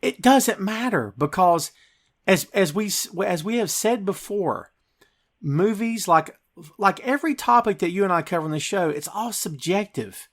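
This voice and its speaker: A man hosting a podcast, chatting casually about movies in an animated, emphatic, slangy way. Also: sits high, moderate pace. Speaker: podcast host. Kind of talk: casual movie review chat.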